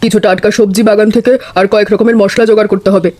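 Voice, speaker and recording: A woman's voice: mid-range voice, steady tone, rapid-fire, dark tone, natural voice. A woman voicing a kindly older village mother in a cartoon, speaking affectionately and giving practical instructions. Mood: caring, practical. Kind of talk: scripted cartoon dialogue.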